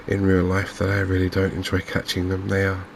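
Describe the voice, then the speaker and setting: A man hosting a gaming video in a softly spoken ASMR commentary style, unhurried and hesitant, musing to himself. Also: sits low, completely flat delivery, gravelly, noisy background.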